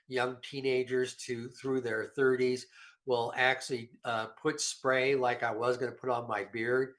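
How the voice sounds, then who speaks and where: A man's voice: mid-range voice, fairly bright tone. A middle-aged man with a slightly nasal voice from a cold, talking casually and with self-deprecating humor about health supplements as a show regular. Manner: rambling, folksy, self-deprecating.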